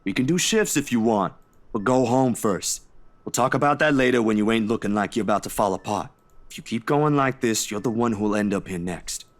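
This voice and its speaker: A man voice-acting a steady, easygoing friend in a visual novel, casual and coaxing as he reassures. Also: fairly low pitch, a little fast, lightly acted. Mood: firm, reassuring.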